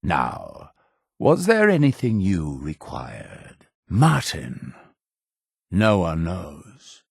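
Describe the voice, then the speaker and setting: An actor playing a solemn, fervent cult high priest in a video game, speaking in a grandiose, formal, preaching manner. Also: male voice, wildly swooping pitch, extremely slow, creaky, breathy edge, dry recording, studio quality, full character voice. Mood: solemn, measured. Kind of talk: scripted video game character dialogue.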